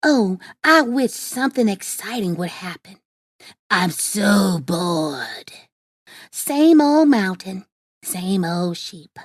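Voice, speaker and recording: A woman voicing a mischievous, easily bored young shepherd boy in a storybook, with exaggerated, drawn-out delivery. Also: medium pitch, sing-song, dry recording, studio quality, pitched below the performer's own voice. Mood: bored, groaning. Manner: exaggerated, drawn-out shouts and groans.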